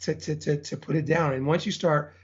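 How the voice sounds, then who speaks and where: A man's voice: on the high side, fairly even intonation, brisk, husky, lo-fi sound. A middle-aged man from Texas, a podcast guest who rambles energetically with many restarts. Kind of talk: casual interview chat.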